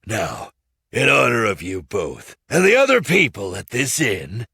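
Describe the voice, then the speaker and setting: A woman's voice: a bass voice, big pitch swings, a little slow, vocal fry, bright, forceful tone, slightly rough, no room sound, studio quality, full character voice. A woman voicing a theatrical male puppeteer in a storybook, effusive and grandiose in his declaiming. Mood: announcing. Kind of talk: theatrical children's storybook read-aloud.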